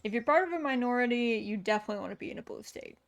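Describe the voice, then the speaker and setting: Woman streamer, chatty, lots of ums and likes, bit of fry in her voice. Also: fairly high pitch, expressive, racing. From United States. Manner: chatty, answers viewer chat aloud with many fillers. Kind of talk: casual chat while gaming.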